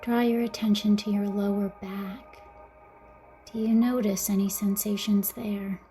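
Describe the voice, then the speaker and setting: A woman guiding a mindfulness meditation in a gentle, soothing, softly spoken way, with breathy phrase endings. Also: medium pitch, little pitch movement, unhurried, soft background music. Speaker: meditation guide. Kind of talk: guided mindfulness meditation narration.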